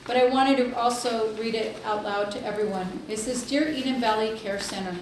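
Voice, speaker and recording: Echoey, higher-pitched woman, halting delivery. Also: fairly even intonation. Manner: hesitant, halting delivery with long pauses. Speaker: healthcare district executive reporting to board. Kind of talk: informal spoken report at board meeting. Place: indoor public meeting room. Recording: echoey room, distant mic, faint noise.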